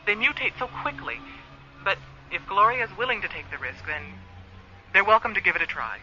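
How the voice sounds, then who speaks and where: A woman's voice: mid-range voice, very animated, fairly quick, bright, forceful tone, a little hiss or hum. A woman playing a friendly, candid space communications officer in a radio play, chatty and a little apologetic over a radio link. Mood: concerned, apologetic. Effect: radio transmission filter. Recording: dull band-limited radio link, music behind.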